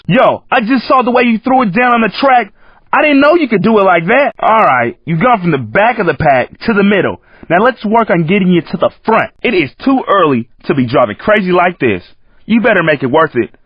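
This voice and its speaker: An actor playing a cocky, hip street-racing coach in a video game, with an American accent and a slangy, teasing, streetwise way of talking. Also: male voice, high voice, slightly rough, no echo, exaggerated voice. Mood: joking, urging. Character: cocky, hip male San Francisco street-racing coach, joking and hyping. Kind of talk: video game character commentary. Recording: muffled lo-fi game audio, dry.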